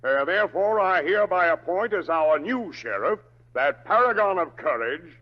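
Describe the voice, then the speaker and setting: An actor playing a scheming, smooth-talking frontier mayor with a pompous, oratorical manner in an old radio drama. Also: male voice, high-pitched, animated, normal speed. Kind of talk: 1940s radio acting. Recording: muffled old radio broadcast.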